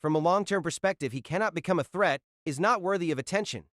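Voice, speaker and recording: A man's voice: high-pitched, fast, a bit bright, no echo, studio quality. A man playing a stern, self-controlled clergyman in an audio drama, formal, grave and literal-minded. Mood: formal, restrained. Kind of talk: audio drama character acting.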